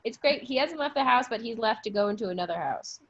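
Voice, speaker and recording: A woman's voice: on the high side, lively intonation, fast talker, fairly bright tone. A woman in a casual podcast chat with a playful, joking manner. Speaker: podcast cast member. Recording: slightly muffled, lo-fi audio.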